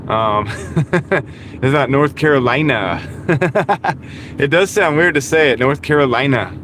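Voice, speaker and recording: Man, casual livestream chat, driving, clip-on mic, steady road noise behind, medium voice. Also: mid-range voice, slow. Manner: chatty, greets viewers and chuckles often. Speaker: delivery driver livestreaming. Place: inside a car on the road. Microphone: small wireless clip-on mic. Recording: car road noise in background.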